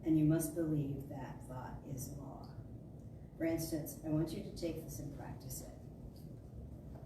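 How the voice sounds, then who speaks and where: Woman reading a spiritual talk, really emphatic, low voice, far from the mic in a very echoey room. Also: fairly even intonation, measured pace. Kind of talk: dramatic reading of a metaphysical sermon. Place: large indoor spiritual center room. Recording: echoey hall from far off, noisy.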